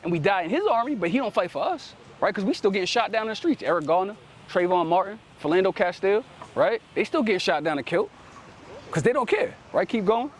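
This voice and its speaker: A man's voice: high-pitched, animated, quick pace, a little hiss or hum. A man preaching outdoors in street teaching style, ending nearly every sentence with "right?" and telling a helper to read. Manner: commanding, calls on the reader to read. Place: outdoors in a city.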